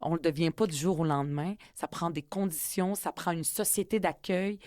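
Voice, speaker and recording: Guest, woman in her 40s, Quebec accent, native Arabic speaker, low pitch, dry close sound, earnest and halting. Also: darkish tone, no room sound. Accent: Quebec French. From Middle East, raised in Quebec from age ten. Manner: earnest and reflective, many hesitations. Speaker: politician and author guest. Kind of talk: conversational interview.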